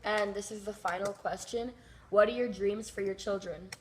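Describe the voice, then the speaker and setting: A woman asking interview questions in a soft, quiet voice. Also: medium pitch, steady tone, normal speed, slight background noise.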